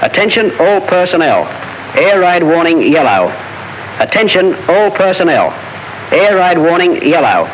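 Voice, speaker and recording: A man's voice: sits high, sing-song, measured pace. A man playing an official air raid announcer in a 1940s training film, formally addressing all personnel. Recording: echoey, hissy old film soundtrack.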